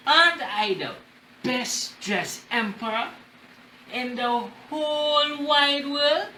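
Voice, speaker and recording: A vain, demanding emperor from a children's storybook, acted out by a man reading aloud. The delivery is boastful and slow, with big swings of pitch. The recording is roomy. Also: animated, bright, forceful tone, a little hiss or hum, heavily acted. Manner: boastful, imperious, emphatic.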